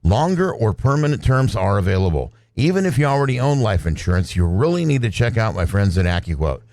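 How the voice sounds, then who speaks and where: Low-voiced man reading a radio ad. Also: big pitch swings, fairly quick, a little mellow, dry recording, clean studio sound. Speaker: pastor giving an ad testimonial. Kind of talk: scripted radio ad read.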